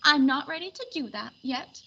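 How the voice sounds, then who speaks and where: A woman's voice: high-pitched, animated, moderate pace, pushed and bright, dull audio, pitched above the performer's own voice, exaggerated voice. A woman voicing a fussy, determined little female daisy in a storybook, complaining in an emphatic, particular way. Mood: eager, determined.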